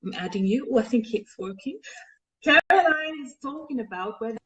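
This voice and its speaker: A woman's voice: a little high, expressive, normal speed, fairly bright tone. A woman with an Austrian accent hosting a live online show, chatting casually with many fillers.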